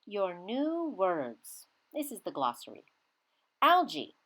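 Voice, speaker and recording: A woman reading a children's science book aloud in a teacherly way, emphasizing key words with simple, expressive phrasing. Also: medium pitch, slow. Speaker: children's read-aloud reader.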